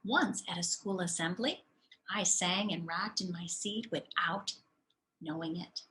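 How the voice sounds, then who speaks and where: A woman's voice: on the low side, a little slow, pushed and bright, slight background noise, low-quality recording. A woman reading a children's story as an eager, curious young girl who tells it simply and earnestly. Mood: earnest, confiding.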